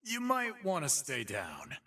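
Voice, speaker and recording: A man's voice: a little high, huge pitch swings, slow, vocal fry, sharp tone, far from the mic, exaggerated voice. An actor voicing a cocky, brutish half-human fight-pit boss for a video game, with a swaggering, taunting, slangy tough-guy drawl. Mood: boastful. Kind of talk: video game character voice lines.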